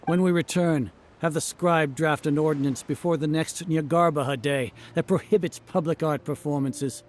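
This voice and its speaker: A man's voice: a little high, fairly even intonation, moderate pace, natural voice. A man playing a pompous, cold, contemptuous scholarly official in a video game, speaking formally. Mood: disdainful. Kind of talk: video game character acting.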